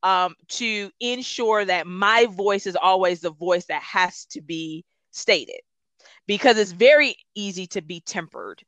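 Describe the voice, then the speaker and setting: Interview guest, Gullah as first language, emphatic, bright tone, dry sound. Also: mid-range voice, animated, unhurried, no room sound. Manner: passionate, emphatic, reflective. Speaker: guest, consultant. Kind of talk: conversational interview.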